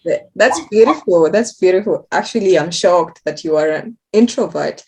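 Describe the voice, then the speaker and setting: A woman's voice: lowish voice, normal speed, breathy edge. Encouraging woman interviewer. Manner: warm, encouraging, asks simple questions. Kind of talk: casual interview.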